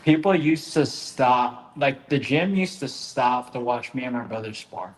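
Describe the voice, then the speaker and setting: A man who is a fighter, joking and rambling in a casual interview, often trailing off quietly. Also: medium pitch, expressive, unhurried. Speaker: fighter, guest. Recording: echoey room, faint background noise.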